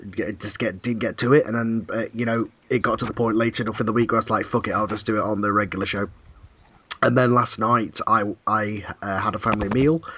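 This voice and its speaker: A man hosting a podcast in casual chat, his voice croaky and hoarse as he talks in a meandering way, clearing his throat often and swearing casually. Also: fairly low pitch, a bit bright. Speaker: podcast host.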